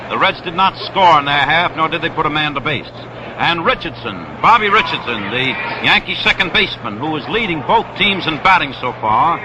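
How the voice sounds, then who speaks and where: A man calling baseball play-by-play on 1960s radio in a measured, explanatory way, repeating himself deliberately. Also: fairly high pitch. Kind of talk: live 1960s radio sports commentary. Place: outdoors at a baseball stadium. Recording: noisy vintage outdoor radio broadcast.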